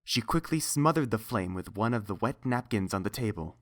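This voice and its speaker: A woman's voice: extremely low pitch, expressive, brisk. A woman reading a scripted story aloud as the narrator.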